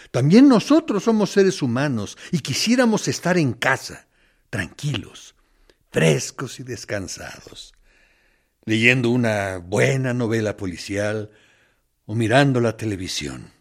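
An actor playing a smug military interrogator in a radio play, speaking in a theatrical, sardonic, mock-courteous way with quiet menace. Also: male voice, mid-range voice, very animated. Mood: self-pitying, menacing. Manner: deliberate, sardonic, condescending, with theatrical pauses. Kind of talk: theatrical radio drama monologue.

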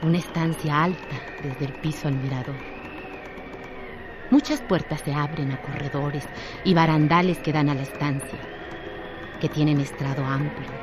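A narrator reading a radio drama in a measured, evocative literary style. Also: mid-range voice. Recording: music under the voice. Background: music.

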